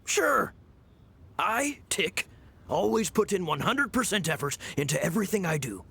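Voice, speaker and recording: An actor playing a greedy young odd-job hustler in a game, cheeky and haggling. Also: male voice, high-pitched, big pitch swings, moderate pace, creaky, sharp tone, husky, full character voice. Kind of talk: game voice acting. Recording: game audio with music behind.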